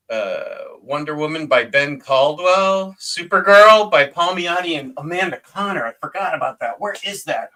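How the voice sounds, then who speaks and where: A man on a comics podcast panel, slowly walking through a book's contents with enthusiasm and frequent fillers. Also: a little high, sharp tone, poor audio. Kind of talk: casual chat, listing book contents.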